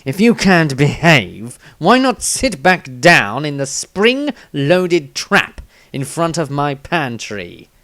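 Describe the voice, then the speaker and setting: A man's voice: on the high side, big pitch swings, takes it slowly, hardly any pauses, fairly bright tone, no room sound, clean studio sound, pitched above the performer's own voice, exaggerated voice. A man voicing a cold, clinical male warrior who talks in a curt, sneering way in a storybook reading.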